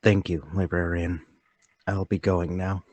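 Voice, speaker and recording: A man's voice: deep voice, flat delivery, unhurried, a little mellow, no echo. A man playing a meek, forgetful, troubled former traveling salesman in a radio play, polite, apologetic and sorry for himself. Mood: timid, polite. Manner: polite, apologetic, self-pitying. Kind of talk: radio play table read.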